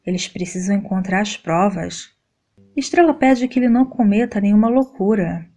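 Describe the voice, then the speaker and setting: A woman narrating a scripted soap opera episode recap in a steady, even read-aloud delivery. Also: medium pitch, moderate pace.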